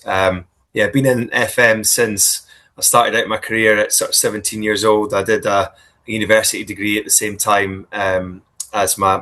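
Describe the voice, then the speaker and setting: A Scottish man in his thirties speaks in a casual interview, his voice bright and a little low. He talks in a friendly, unhurried way, often restarting phrases and filling pauses. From Scotland. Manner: friendly, hesitant, many restarts and fillers. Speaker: guest, business leader.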